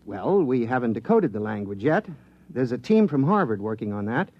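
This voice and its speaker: An actor playing an eager, absent-minded government scientist in a 1950s radio drama, explaining things in an animated way. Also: male voice, medium pitch, moderate pace, dull audio. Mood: pleased, matter-of-fact.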